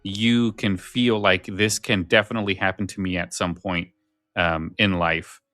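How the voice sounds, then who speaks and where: Low-voiced man chatting on a podcast. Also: fairly even intonation, unhurried, sharp tone, no room sound, studio quality. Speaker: podcast host. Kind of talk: casual conversation about scary stories.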